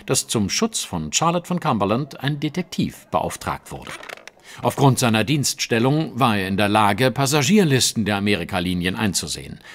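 Self-assured genius detective, audio drama; precise lecturing delivery with lively, swooping intonation. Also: male voice, mid-range voice. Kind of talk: German audio drama acting.

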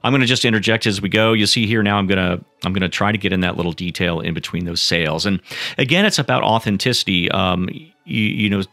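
Chatty low-voiced man teaching painting. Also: quick pace, sharp tone, no room sound. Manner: rambling and chatty, with many fillers and restarts. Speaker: painting instructor. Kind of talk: casual painting tutorial voice-over.

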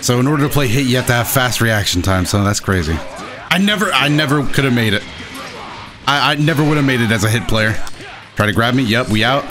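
A man hosting a gaming video with casual, excited, exclamatory and self-deprecating play-by-play commentary. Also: mid-range voice, quick pace. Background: game music behind the voice.

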